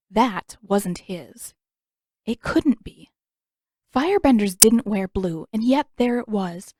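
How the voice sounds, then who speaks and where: A woman's voice: mid-range voice, mellow, dry recording. A woman reading scripted fiction expressively as a storyteller, close to the microphone. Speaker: podfic narrator and author. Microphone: close microphone, dry room.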